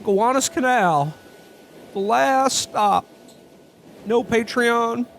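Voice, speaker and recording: A man's voice: very high voice, slow, creaky, a bit bright, a little hiss or hum, heavily acted. A man playing a flustered, pleading podcaster with a stammering, folksy manner in a comedic parody radio play. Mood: despairing. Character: desperate, pleading podcaster in old holiday-film style. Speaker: podcast host. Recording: music behind the voice.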